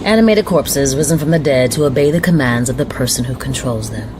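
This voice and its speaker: A composed, fearful woman on the run in a radio drama. Her voice is notably low for a woman, with grave, measured delivery. Noticeable train noise sits behind her. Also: expressive.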